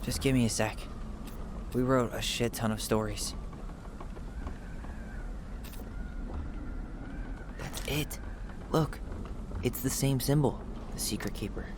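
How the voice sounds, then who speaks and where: An actor playing a guarded young American man in a video game drama, speaking casually and haltingly with some swearing. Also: medium pitch, steady tone, noisy background, close to an everyday voice. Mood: focused, casual. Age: young adult. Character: young man, guarded, searching his troubled childhood memories.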